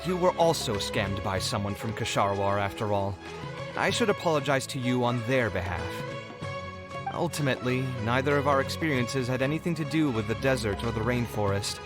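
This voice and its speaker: An actor playing a principled, scholarly young architect in a video game, articulate and reflective in an emotive way. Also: male voice, medium pitch, lively intonation, moderate pace, music in the background, close to an everyday voice. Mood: sighing, philosophical. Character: principled young male architect, scholarly, expressive, too trusting.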